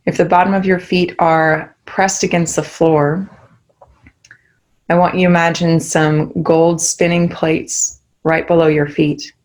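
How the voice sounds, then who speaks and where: A young woman leading a guided meditation, giving soft, soothing instructions. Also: lowish voice, level tone, a little slow.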